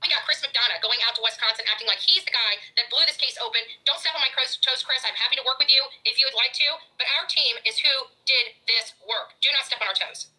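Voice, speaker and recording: Forceful, quick, higher-voiced woman. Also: rough recording. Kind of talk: combative livestream rant.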